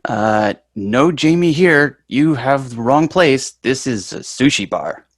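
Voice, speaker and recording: A man reads the part of a young sitcom son. His mid-pitched voice swings up and down in a dry, close recording. He is reluctant, sarcastic and full of wisecracks. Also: lively intonation, slow pace, dry recording. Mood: wisecracking.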